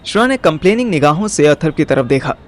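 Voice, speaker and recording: A man's voice: fairly high pitch, sing-song, fast talker. A man with an Indian accent narrating a scripted audio story in a wry, colloquial way. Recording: music behind the voice.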